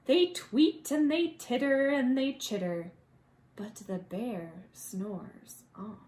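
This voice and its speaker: A woman reading a children's storybook aloud as a preschool teacher, with expressive delivery and playful asides for young listeners. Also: a little high, slow.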